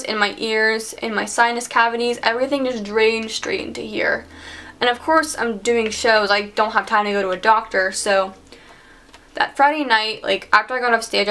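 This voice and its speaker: A woman's voice: on the high side, sharp tone. Chatty teenage girl telling a story. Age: teens. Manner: chatty, heavy use of "like". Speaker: vlogger. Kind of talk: casual storytime vlog.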